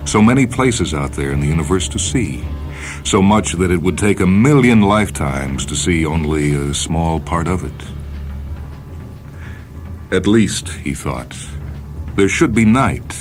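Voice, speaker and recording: A man narrating a short story for radio in a measured, expressive, dramatic style. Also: sits low. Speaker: reader of short fiction on a radio show. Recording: music bed under the voice. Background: music.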